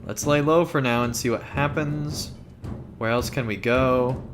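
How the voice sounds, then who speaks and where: Man narrating a game playthrough, mid-pitched, rambling, thinks out loud, music behind. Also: unhurried. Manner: rambling, thinks aloud with fillers and self-corrections; voice sometimes jumps very high when joking. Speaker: let's play gamer. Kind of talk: casual game commentary, reading story text aloud.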